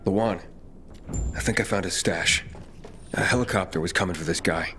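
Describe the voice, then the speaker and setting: A man's voice: low-pitched, moderate pace, background noise. An actor playing a wry young male scavenger in a video game, talking casually. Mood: businesslike.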